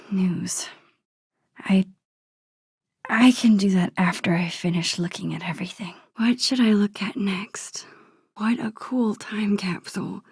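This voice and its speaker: A woman playing a shy, sweet, nostalgic teenage girl in a video game, musing softly and breathily to herself. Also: medium pitch, no room sound, clean studio sound. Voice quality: soft, breathy, hushed. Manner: thoughtful, murmured musing to herself. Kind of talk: introspective game character monologue.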